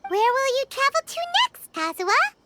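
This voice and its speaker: A woman voicing a tiny, chirpy, childlike fairy companion in a video game, excitable, curious and chatty. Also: extremely high pitch, moderate pace, heavy background noise. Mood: eager. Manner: exclamatory, chatty.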